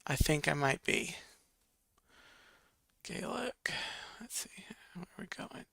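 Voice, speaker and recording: A man's voice: sits high, lively intonation, slow, creaky, bright, forceful tone, breathy edge, no room sound, in a whisper, studio quality. A man hosting a casual live stream, reading viewer comments and counting votes aloud while muttering to himself.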